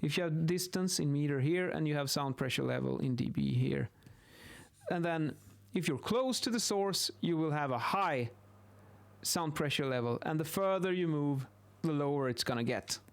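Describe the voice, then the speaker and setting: A man in his thirties speaks English with a Swedish accent in a fairly high voice. He explains things informally and haltingly, often restarting words. He is close to a studio microphone in a home office. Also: expressive, vocal fry. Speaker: video host, acoustics instructor. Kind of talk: informal explainer while sketching. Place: small indoor home office. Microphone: close boom-arm studio microphone.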